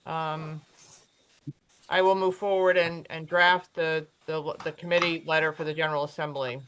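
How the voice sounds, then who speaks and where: A woman chairing a virtual commission meeting, brisk and procedural, with some fillers. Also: low-pitched, little pitch movement, fairly bright tone, slight background noise, poor audio.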